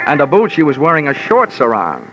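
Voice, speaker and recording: A hard-boiled American investigator from a vintage radio mystery. His sardonic delivery swings widely in pitch, milking every wisecrack. The recording is old and dull, with music clearly behind him. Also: wildly swooping pitch, fairly quick. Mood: dreamy, wry. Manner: wry, sardonic, hammed-up delivery. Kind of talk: old-time radio detective drama acting.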